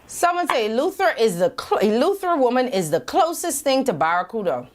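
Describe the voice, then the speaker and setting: A woman's voice: high-pitched, very animated. A Bahamian woman co-hosting a call-in radio show, playful and teasing in casual banter. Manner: playful, teasing, quick to laugh.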